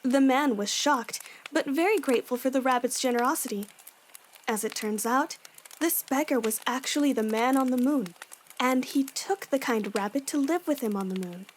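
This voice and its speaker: A woman's voice: high voice, normal speed, a little hiss or hum, lightly acted, low-quality recording. A woman playing a bossy but caring young warrior woman in a fan audio drama, with a firm, matter-of-fact manner. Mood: gentle, storytelling.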